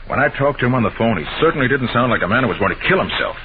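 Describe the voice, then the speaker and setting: An actor playing a decisive, analytical detective in an old-time radio drama, with a commanding, deliberate delivery. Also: male voice, medium pitch, lively intonation, lightly acted. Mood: musing, intent. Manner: commanding, deliberate, clipped instructions. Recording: noisy, muffled vintage radio recording.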